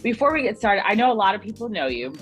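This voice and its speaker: Bubbly excitable woman host. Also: quick pace, faint music behind. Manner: bubbly and excitable, big pitch leaps. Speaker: podcast host, chiropractor. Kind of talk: casual interview chat.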